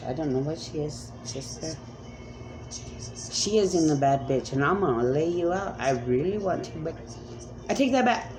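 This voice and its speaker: A woman's voice: low voice, animated, normal speed, long pauses, over music, rough recording. A woman speaking as a self-described spirit medium in a rambling, emphatic monologue, pleading and repeating commands.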